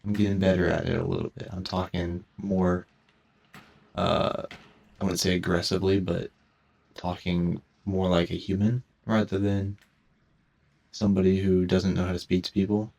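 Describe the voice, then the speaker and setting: A teenage boy speaks in a low, gravelly voice with a lot of vocal fry. He rambles casually into a cheap mic at home, stumbling and restarting his sentences in a self-conscious way. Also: little pitch movement, normal speed, a little mellow, highs cut off. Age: teens. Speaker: gaming commentator. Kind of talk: rambling casual commentary over gameplay. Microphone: cheap headset mic.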